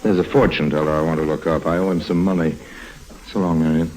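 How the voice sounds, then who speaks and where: An actor playing a roguish, smooth-talking con man on the run in 1950s radio drama, with a rich voice and a wry, urbane manner. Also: steady tone, fast talker, a little mellow, rough recording. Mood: suave, wry. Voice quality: deep, rich voice. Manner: wry, urbane, dryly ironic. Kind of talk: 1950s radio drama acting with first-person narration. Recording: old 1950s radio recording.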